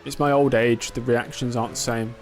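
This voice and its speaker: A man's voice: medium pitch, level tone, fairly quick, soft background music. A man in his twenties chatting casually on a live stream while racing, answering chat and talking himself through the pressure. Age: 20s. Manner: chatty, reads and answers chat, self-talk under pressure.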